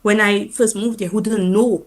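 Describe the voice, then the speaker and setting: A woman from Dominica with a Dominica accent, a teacher talking as a guest in a radio interview. She is animated and proud, laughs often, and uses fillers like "um" and "like". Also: medium pitch, normal speed. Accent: Dominican (Dominica) Caribbean English.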